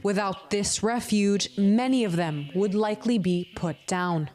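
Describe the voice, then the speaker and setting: A woman's voice: medium pitch, a little slow, a little mellow. A woman narrating a scripted television news report as a reporter.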